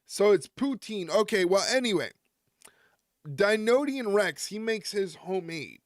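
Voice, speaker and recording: Man, stream host, casual rambling chat, joking, slightly high voice, dry close sound. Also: animated, unhurried, dry recording. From United States. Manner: rambling, jokey, chuckles often, answers chat.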